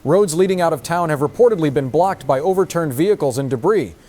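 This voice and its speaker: A man playing a grave local TV newsman, delivering a formal, measured emergency bulletin in a scripted horror audio drama. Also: a little high, animated, warm tone. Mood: grave, urgent. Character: grave male local TV newsman reporting catastrophe. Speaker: TV news reporter.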